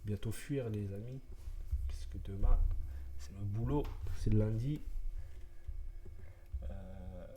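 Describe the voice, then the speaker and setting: A man's voice: lowish voice, slow, long pauses, dark tone. A young man chatting casually while he codes on a live stream, rambling and muttering to himself between thoughts. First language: French. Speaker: streamer, web developer. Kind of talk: casual live-coding chat.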